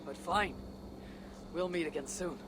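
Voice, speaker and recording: A woman's voice: lowish voice, measured pace, background noise, close to an everyday voice. An actress playing a blunt, impatient, skeptical female warrior in a video game, with a dry and curt delivery. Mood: dry, scornful. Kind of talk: video game character dialogue.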